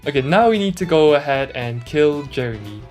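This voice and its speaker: A man's voice: on the high side, expressive, fairly quick, a little mellow. A man speaking through a voice changer, giving casual, slangy gameplay commentary with a mildly complaining manner. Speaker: gaming YouTuber. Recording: music mixed under the voice. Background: background music.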